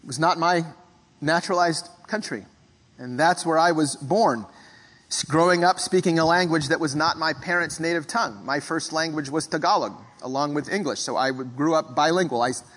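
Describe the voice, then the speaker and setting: Reflective male pastor with a slightly high voice. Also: a bit bright, long reverb tail. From Philippines. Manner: reflective, measured, frequent throat clearing. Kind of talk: sermon.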